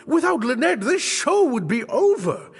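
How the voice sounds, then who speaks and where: An actor playing a theatrical, high-strung troupe director in a video game, with grandiose, emphatic delivery. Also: male voice, sing-song, measured pace, exaggerated voice. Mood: alarmed, indignant. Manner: grandiose, emphatic, florid phrasing. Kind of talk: dramatic game voice acting. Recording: reverberant studio voice with music. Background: background music.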